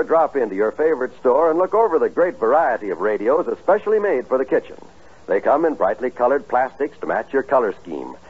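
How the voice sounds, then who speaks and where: An American man working as a radio announcer, reading scripted announcements in a 1950s broadcast style with a husky voice. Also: on the high side, phone-quality sound.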